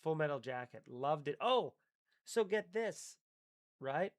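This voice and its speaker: A man's voice: on the high side, animated, slow pace, no room sound. Profane rambling man, dry sound. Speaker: comedian hosting a live stream. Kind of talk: casual live-stream chat reading chat comments.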